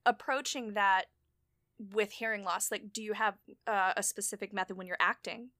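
A woman hosting a casual podcast interview, chatty and quick to laugh, trailing off and restarting her sentences. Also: mid-range voice, steady tone, sharp tone, dry recording, studio quality. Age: adult parent. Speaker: podcast host.

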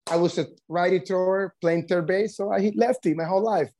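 A man's voice: high voice, fairly even intonation, dark tone. Dominican-accented man, earnest guest. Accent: Dominican Spanish. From Dominican Republic. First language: Spanish. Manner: earnest, halting English. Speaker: baseball coach guest.

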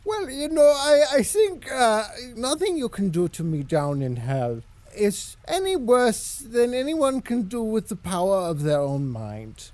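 A woman's voice: on the high side, very animated, measured pace, dark tone, no echo. A woman playing a gleefully perverse, elderly male psychoanalyst in hell, pedantic and unflappable, in improvised comedy. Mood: gleeful, unbothered. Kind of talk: improvised comedy character interview.

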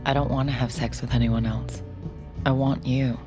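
An actress playing a troubled, secretive woman in love, hesitant and hushed, in an intimate audio drama. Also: rumbling low, level tone, normal speed, over music. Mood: reluctant. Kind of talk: intimate audio drama acting and confessional narration.

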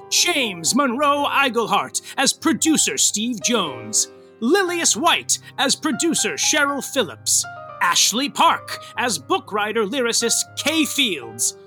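A man's voice: high voice, pushed and bright. A man narrating a comic podcast soap opera, reading the end credits in a playful, flamboyant way. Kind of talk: theatrical credits read-out.

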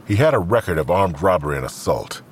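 Low, expressive male narrator. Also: fast, slight background noise. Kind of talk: scripted crime drama narration.

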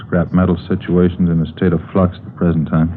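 An actor as a glum, low-voiced junkyard owner. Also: male voice, flat delivery, quick pace, phone-quality sound, natural voice. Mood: resigned, complaining. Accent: American. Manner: plain-spoken, resigned. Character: glum, beleaguered male wrecking-yard owner. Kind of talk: 1950s radio drama dialogue. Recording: old band-limited radio broadcast, faint noise.

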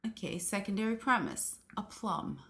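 A woman's voice: medium pitch, animated, moderate pace, gravelly, a bit bright, slight background noise. A woman guesting on a podcast in casual banter, playful, laughing often and arguing cheekily.

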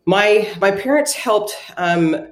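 Woman from Ghana, interview guest, thoughtful, pausing, echoey room sound. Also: mid-range voice, expressive. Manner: thoughtful, many ums and restarts. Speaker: guest, sports psychologist. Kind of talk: conversational interview answers.

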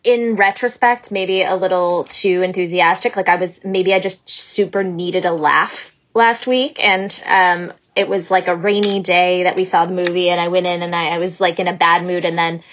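A woman's voice: lowish voice, steady tone, moderate pace, few pauses, fairly bright tone, lo-fi sound. A woman guest on a podcast, chatting enthusiastically with many fillers and restarts. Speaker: guest, staff writer. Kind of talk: casual chat.

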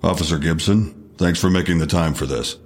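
An actor playing an unflappable police detective in an audio drama, questioning in a measured, official manner. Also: male voice, low-pitched. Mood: formal, controlled.

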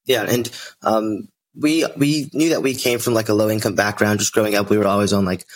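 A man's voice: lowish voice, fairly even intonation, fairly quick, poor audio. A man of twenty, a podcast guest, talking hesitantly with many fillers. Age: 20s. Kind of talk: casual interview talk.

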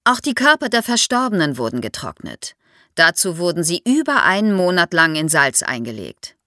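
A woman's voice: lowish voice, big pitch swings, normal speed, sharp tone, no echo, clean studio sound. A woman explains history to young listeners as an expert guest, in a didactic way, posing questions as she goes.

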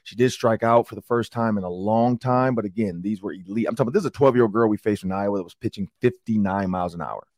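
A middle-aged man with an Upper Midwest American accent hosts a sports talk podcast, his voice hoarse and raspy from yelling, and he tells stories in a rambling, excitable way. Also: lowish voice, fast talker, hardly any pauses, dry recording, clean studio sound. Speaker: sports talk show host. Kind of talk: casual podcast chat.

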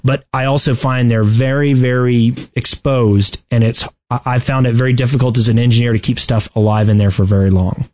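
Male co-host, mid-range voice, casual gaming talk, halting and deliberate delivery. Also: medium pitch, steady tone, darkish tone. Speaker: podcast co-host. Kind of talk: casual video game discussion.

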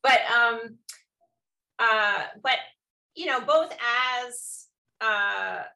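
A woman's voice: on the high side, very slow, gravelly, sharp tone, low-quality recording. A woman hosting an online conversation, talking loosely with many fillers and restarts. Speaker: host. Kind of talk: casual online panel chat.

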